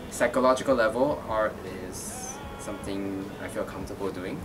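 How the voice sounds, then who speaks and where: A man's voice: fairly low pitch, normal speed. A man from Taiwan, an artist and art teacher, answering interview questions thoughtfully, with many fillers and restarts. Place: gallery. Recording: distant mic with music underneath.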